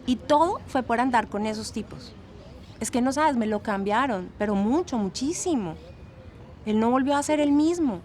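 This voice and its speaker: An actress playing a chatty former student with a Latin American Spanish accent, giving gossipy, fondly exasperated testimony in an audio drama. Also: female voice, fairly high pitch, lively intonation, normal speed, a little mellow, natural voice. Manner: gossipy, emphatic, sprinkles in English words.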